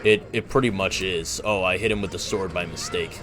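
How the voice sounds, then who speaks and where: A young man casually chatting while streaming a video game, relaxed and easygoing. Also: low-pitched, brisk, music in the background. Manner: relaxed, talks while playing, fillers and restarts. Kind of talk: casual gameplay chat.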